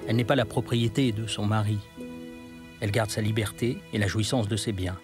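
A man narrating a historical documentary from a script, in a measured storytelling manner. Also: lowish voice, steady tone. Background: music.

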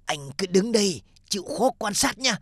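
A man's voice: high-pitched, expressive, moderate pace, creaky, a bit bright, dry recording, studio quality, full character voice. A man voicing a crafty restaurant owner in a cartoon, explaining in a smug, knowing way. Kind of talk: comedy cartoon dub.

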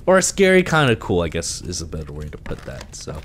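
A man's voice: low-pitched, wildly swooping pitch, fairly quick, background noise. Congested young man narrating a horror game. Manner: nervous, talks to himself and the game. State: congested from allergies. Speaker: gaming video creator. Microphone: headset.

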